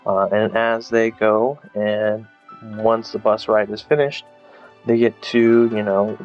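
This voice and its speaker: Male game reviewer, lowish voice, casual and hesitant, rambling monologue, slightly lo-fi sound, soft music behind. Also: little pitch movement, slow. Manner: rambling, hesitant, filler-heavy. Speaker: video creator reviewing a game. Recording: slightly dull, lo-fi voice track. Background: faint music.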